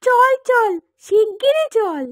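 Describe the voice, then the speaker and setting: A woman voicing a sweet, eager, squeaky little village child in a children's cartoon. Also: expressive, slow pace, dry recording, exaggerated voice. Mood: excited.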